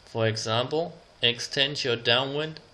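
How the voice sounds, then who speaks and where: A man narrating an instructional slide presentation as a tutor, reading out example phrases in a deliberate, measured way. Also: mid-range voice, pushed and bright.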